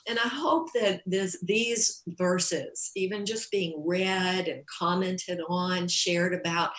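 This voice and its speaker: A woman with a slightly low voice thanks her congregation, pausing often and restarting her sentences. The sound is roomy and echoing around her. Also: fairly bright tone. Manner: halting, many fillers and restarts. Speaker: church leader closing a service. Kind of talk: grateful closing remarks.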